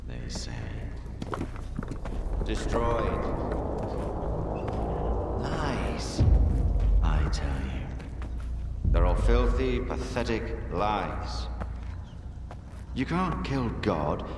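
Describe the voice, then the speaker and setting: A man playing a fanatical cult preacher in a video game, brooding and bitter, with a slow, sermon-like theatrical delivery. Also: deep voice, very noisy, exaggerated voice. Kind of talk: dramatic video game cutscene acting.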